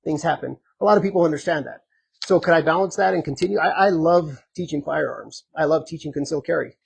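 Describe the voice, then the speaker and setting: A man running for sheriff, answering audience questions at a community meet and greet in an earnest, conversational way, hoarse and full of filler ums. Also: a little high, quick pace. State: hoarse, losing his voice. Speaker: sheriff candidate.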